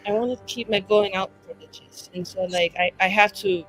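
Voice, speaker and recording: A woman's voice: medium pitch, steady tone, a little fast, a bit bright, faint music behind, lightly acted, poor audio. A woman playing a flamboyant, tipsy elf writer who rambles and boasts with self-dramatising flair in a tabletop game. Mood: reluctant, apologetic.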